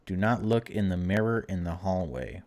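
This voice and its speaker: A man with a low voice plays through a game for viewers. He reads the text aloud and comments on it in an informal, chatty way, dropping wry remarks as he goes. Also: expressive, normal speed, a little mellow. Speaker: video game streamer. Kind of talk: casual let's-play commentary mixed with reading game text aloud.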